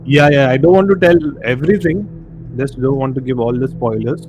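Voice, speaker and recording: A man's voice: medium pitch, brisk, noisy background. A man hosting a casual livestream movie discussion, speaking conversationally and repeating phrases for emphasis. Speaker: host of a horror discussion livestream. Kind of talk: casual movie review chat. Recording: muffled audio with clear background music. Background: music bed under the voice.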